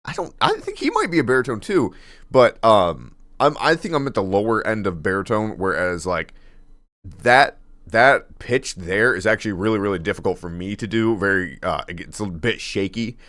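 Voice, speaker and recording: A man with a deep baritone voice reacting casually to a song, laughing often and rambling with fillers and restarts. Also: sing-song, moderate pace, a bit bright, no echo, studio quality. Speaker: music reaction host.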